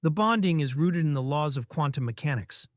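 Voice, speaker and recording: A man reading a scripted passage aloud in a measured, formal manner. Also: on the high side, animated, no echo. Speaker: narrator reading practice passages. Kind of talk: scripted read-aloud narration.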